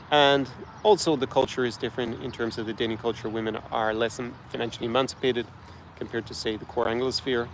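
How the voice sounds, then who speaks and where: An Irish man talks frankly and at length to the camera, with plenty of ums and restarts as he thinks aloud. His voice sits in a middle pitch. Faint street and traffic noise runs behind him outdoors. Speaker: travel and lifestyle guide host. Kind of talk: casual talk to camera.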